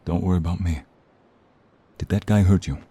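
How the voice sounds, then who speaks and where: Casting: male actor as a devoted mobster lover, low husky voice, earnest and protective, wounded but tender, for romantic audio drama. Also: moderate pace, dark tone. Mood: grave, weary. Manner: earnest, pleading, speaks tenderly to his partner. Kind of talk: dramatic audio roleplay acting.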